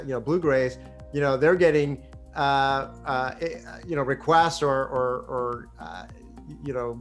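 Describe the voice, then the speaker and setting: A man with a medium-pitched voice moderates a business conversation. He feels his way through sentences haltingly, repeating words as he goes. Soft music plays faintly behind him. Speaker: webinar moderator.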